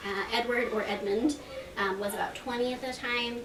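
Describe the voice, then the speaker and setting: A woman with a slightly high voice introduces material at a live event in an informal, halting way. She is heard at a distance from the microphone in an echoey room. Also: fairly even intonation, crowd noise. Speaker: presenter introducing readers at a library event. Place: library reading room at a public event. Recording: echoey room, distant mic, clear background noise.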